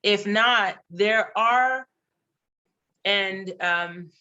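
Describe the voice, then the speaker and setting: A man's voice: sits high, drawn-out words, pushed and bright, highs cut off. A man whose first language is Haitian Creole, a diplomat giving earnest, chatty advice on a panel, with frequent restarts. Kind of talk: casual advice on a webinar panel.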